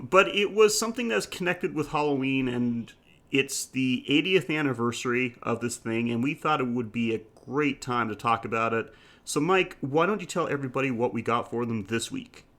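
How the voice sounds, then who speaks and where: A man with a medium-pitched voice co-hosts a podcast. He talks in a loose, conversational style, discussing films. His manner is jokey and full of banter. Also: a bit bright. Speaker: podcast co-host. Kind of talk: casual film discussion chat.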